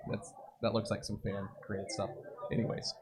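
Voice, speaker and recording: A man with a raspy voice hosting a live soccer show, chatting casually, joking and reading viewer comments. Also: lowish voice, little pitch movement, moderate pace. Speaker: podcast host. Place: busy brewery. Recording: noisy live bar recording. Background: crowd chatter.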